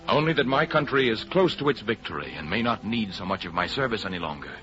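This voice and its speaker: A very low-voiced, ardent count speaks with earnest, declamatory romance in the manner of 1930s radio drama. The old recording is muffled, with music faintly behind him. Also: male voice, very animated, quick pace, bright, forceful tone. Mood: grave, confiding. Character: ardent aristocratic count, earnest lover and patriot.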